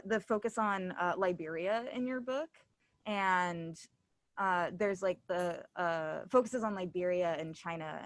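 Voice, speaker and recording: A woman hosting a casual interview, speaking in a halting way as she searches for words. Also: on the low side, a bit bright, no echo. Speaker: interviewer and event host.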